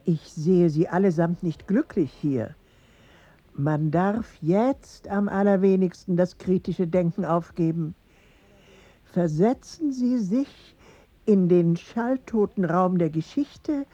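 An actress playing a solemn woman who presides over the dead in a German radio drama, speaking in a measured, ceremonial way. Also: on the low side, mellow. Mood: commanding. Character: solemn female guide presiding over dead witnesses in underworld. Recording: muffled, long reverb.

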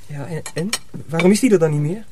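A man playing an earnest, worn-out teenage apprentice in a radio drama, speaking in a halting, pleading way. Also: a little high, natural voice. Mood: curious, uneasy. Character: earnest teenage boy apprentice, exhausted and questioning. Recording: noisy radio drama recording.

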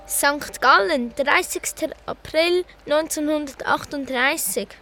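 An actress playing a formal, measured announcer reading a court verdict in a solemn radio drama. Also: female voice, high-pitched, sharp tone, full character voice. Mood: solemn, official. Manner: measured, official. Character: formal female announcer reading out a 1938 death sentence.

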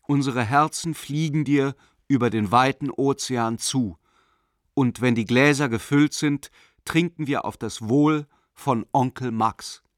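A man acting an earnest family man and official, caught between doubt and hope, in a radio play. He voices his letter reflectively, with deliberate, rhetorical phrasing and a medium pitch. The recording is dry and close to the studio sound. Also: no room sound, close to an everyday voice. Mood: proud, affable. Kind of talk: radio drama letter reading.

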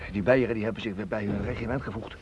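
An actor in a radio drama playing a hungry, rough-spoken soldier, hurried and colloquial. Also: male voice, lowish voice, fairly even intonation, close to an everyday voice. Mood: tense. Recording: dull old radio play recording, noisy.

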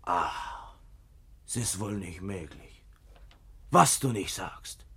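A man's voice: low voice, animated, slow, pushed and bright, husky, heavily acted. An actor playing a coarse, menacing bully in a German radio drama, mocking and taunting as he speaks. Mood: sneering.

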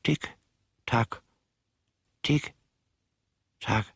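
An older actor playing an aggrieved, rambling old manservant in a German radio play, with brooding, old-fashioned diction. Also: male voice, extremely slow, no echo, clean studio sound. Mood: wistful, musing.

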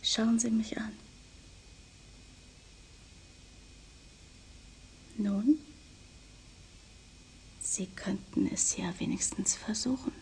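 An actress playing a seductive, mysterious, self-assured woman in a crime radio play, with breathy, teasing, coaxing delivery. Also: a little high, fairly even intonation, takes it slowly, darkish tone, whispered, low-quality recording. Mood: soft, insinuating. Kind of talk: 1990s German crime radio play acting.

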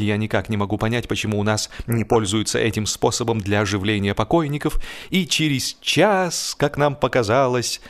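A man narrating a humorous literary story in a theatrical manner, stretching key words for emphasis. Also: medium pitch. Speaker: audiobook narrator.

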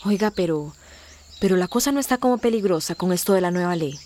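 A woman's voice: lowish voice, lively intonation, quick pace, mellow, a little hiss or hum, natural voice. An actress playing a tender, homesick young migrant woman in a radio drama, speaking in a sighing, sing-song way. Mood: wistful, uneasy.

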